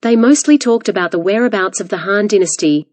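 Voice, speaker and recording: A woman reads a prepared passage aloud in a careful, measured way. Her pitch sits in the middle range for a woman, and the sound is very dry, free of room echo. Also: a little mellow. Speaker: exam practice model reader. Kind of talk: read-aloud scripted narration.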